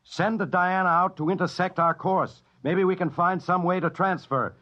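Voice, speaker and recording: A man's voice: high voice, steady tone, normal speed, bright, forceful tone. A man acting a responsible, strained captain of a crippled spaceship in a 1950s-style radio drama. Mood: urgent. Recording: muffled, noisy old-time radio broadcast.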